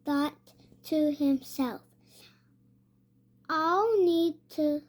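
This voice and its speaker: A child reading a storybook aloud haltingly, sounding out words and repeating them. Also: sits high. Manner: hesitant, sounding out words, repeating after prompts. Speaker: young reader.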